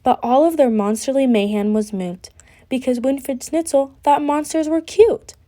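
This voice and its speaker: A woman reading a children's picture book aloud with an expressive, deliberate delivery. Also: sits high. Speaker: storybook reader.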